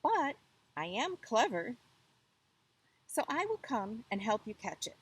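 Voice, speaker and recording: Woman doing an eager, clever storybook monkey, very animated. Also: a little high, slow, slightly rough, voice pushed up, heavily acted, poor audio. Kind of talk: children's folktale read aloud.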